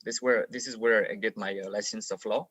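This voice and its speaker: A man's voice: on the low side, steady tone, a little fast, fairly bright tone, husky. A young man from France speaking English with a French accent, chatting animatedly as a podcast guest, swearing, laughing and repeating words. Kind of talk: casual chat.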